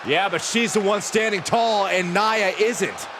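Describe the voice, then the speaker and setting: A man doing loud, excited color commentary on video game wrestling, sounding incredulous. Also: high voice, measured pace. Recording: noisy arena crowd behind voice.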